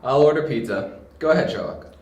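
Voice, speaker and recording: A man's voice: medium pitch, lively intonation, fairly quick, darkish tone, lightly acted. A man playing a dry, helpful reporter in an amateur audio drama, with an easygoing manner. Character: detective's dry, helpful relative, a sharp-memoried male reporter. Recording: roomy amateur home recording.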